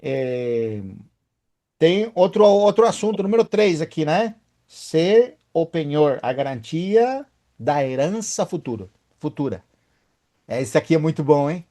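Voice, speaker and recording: A man's voice: a little high, big pitch swings, measured pace, mellow. A man leading a Bible study, emphatic and often asking his listeners for agreement.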